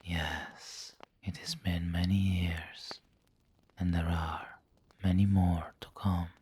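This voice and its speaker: A man playing a refined, possessive vampire lord who dotes on the listener in an intimate, whispery ASMR roleplay, speaking formally and deliberately. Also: low-pitched, level tone, darkish tone, full character voice. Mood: tender, possessive. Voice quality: breathy, whispery, husky. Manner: slow, deliberate, formal, pausing mid-phrase. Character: aristocratic male vampire lord, possessive, doting, refined and snobbish.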